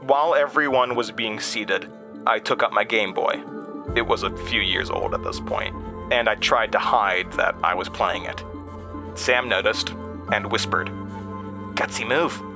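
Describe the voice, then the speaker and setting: An actor playing an anxious, haunted young man in an audio drama, speaking in a halting, nervous, stammering confessional monologue. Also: fairly low pitch, music in the background, close to an everyday voice. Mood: nostalgic, reflective. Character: anxious, haunted young Midwestern man recalling traumatic memories.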